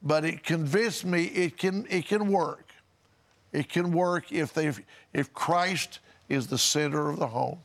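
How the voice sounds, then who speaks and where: A man speaking as a pastor on a religious panel, telling anecdotes in a halting way and repeating words. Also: a little high.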